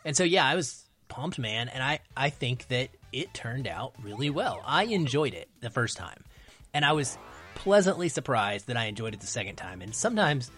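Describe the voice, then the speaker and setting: A man's voice: medium pitch, big pitch swings, moderate pace, a bit bright, soft background music. A man speaking as a podcast guest, giving a casual film opinion and repeating phrases for stress. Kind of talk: casual film review chat.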